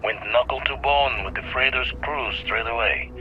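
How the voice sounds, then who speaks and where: An actor playing an old reformed space pirate turned astronomer, musing over the past in slangy storytelling for a video game. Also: male voice, mid-range voice, normal speed, natural voice. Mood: reminiscing. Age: older. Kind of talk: scripted monologue, game voice acting. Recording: dull lo-fi audio with clear noise.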